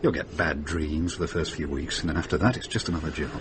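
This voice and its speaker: An actor playing a worldly, wisecracking middle-aged van driver in a radio drama, blokey and matter-of-fact. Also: male voice, extremely low pitch, steady tone, fast. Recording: noisy radio drama with background ambience.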